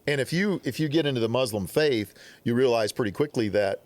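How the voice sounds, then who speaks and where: A man from the Midwest, telling stories on a podcast in a casual, animated way, acting out quotes. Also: mid-range voice, brisk. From Upper Midwest. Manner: animated storyteller, acts out quotes. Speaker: podcast guest. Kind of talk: casual storytelling.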